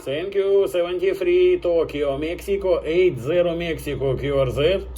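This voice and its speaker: Through heavy static and clicks comes a man with a fairly high voice, operating an amateur radio. He trades signal reports and call signs in a courteous, formulaic way, often repeating himself. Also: measured pace. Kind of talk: ham radio contact exchanges, spelling call signs phonetically.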